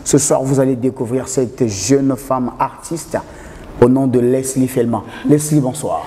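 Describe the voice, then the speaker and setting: A man's voice: medium pitch, measured pace, dark tone, a little hiss or hum. A man hosting a television talk show, interviewing in a conversational way. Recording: reverberant hall sound.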